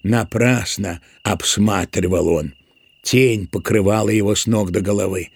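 Unhurried male storyteller, close and dry. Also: fairly low pitch, steady tone, vocal fry, a little mellow, breathy edge, no echo. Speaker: narrator. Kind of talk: literary narration in an audio drama.

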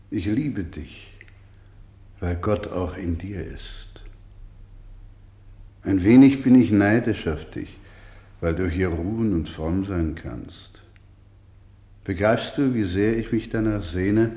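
Weary, devout doctor for radio drama: slow, solemn, gentle, halting, roomy echoing sound. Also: male voice, low-pitched, exaggerated voice. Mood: tender, weary. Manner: solemn, halting, swelling from whisper to fervour. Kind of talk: dramatic radio play acting.

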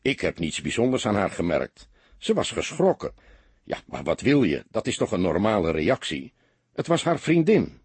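A man's voice: fairly low pitch, huge pitch swings, normal speed, darkish tone, breathy edge, no room sound, heavily acted. Man voicing a nervous young junior detective. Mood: defensive. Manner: timid, defensive, stammering when upset.

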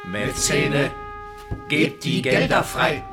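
A man's voice: on the high side, very animated, slow. A man playing a stern, demanding negotiator in a radio play, speaking in a declamatory style. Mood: commanding. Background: clear music.

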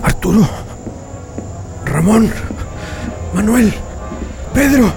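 A wary captive, played by a man in a radio play, steadying his comrades with bitter urgency. His Chilean-accented voice is high, over clear music. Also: animated, very slow, exaggerated voice. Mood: concerned. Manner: urgent, guarded. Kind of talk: radio drama acting.

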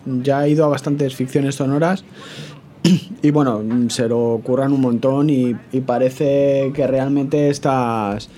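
A man with a Spanish accent from Spain hosting a casual live radio discussion, halting and repeating words as he searches. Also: medium pitch, dark tone, a little hiss or hum. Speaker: radio show host.

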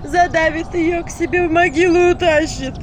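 A woman voicing a middle-aged village woman who wails and pleads in a shrill, drawn-out lament. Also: fairly even intonation, noisy background. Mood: wailing. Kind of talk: audiobook character voice.

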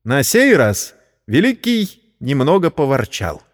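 A man's voice: mid-range voice, very animated, a little slow, long reverb tail. A man narrating a scripted story in a dramatic audio-play style.

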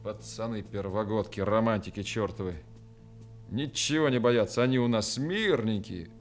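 An actor playing a gruff, weary army major who commands and grumbles, in a radio play. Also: male voice, on the low side, moderate pace, faint music behind, close to an everyday voice. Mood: weary, brisk.

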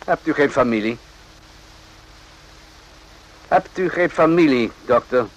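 An actor playing a courteous but probing army captain in a radio play, questioning in a measured, pointed way. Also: male voice, fairly high pitch, expressive, highs cut off. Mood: insinuating.

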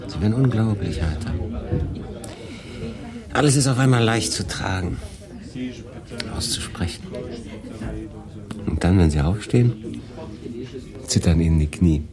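An actor playing a world-weary German refugee in a wartime radio play, confiding and rambling to a silent listener. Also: male voice, on the low side, expressive, measured pace, long pauses. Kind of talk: radio play acting, conversational monologue. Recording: busy café murmur behind. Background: crowd in a café.

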